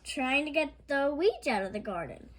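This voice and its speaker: A woman's voice: high voice, sing-song, moderate pace, a little hiss or hum, pitched above the performer's own voice. A woman voicing a curious, helpful young girl in a children's storybook, eager and questioning.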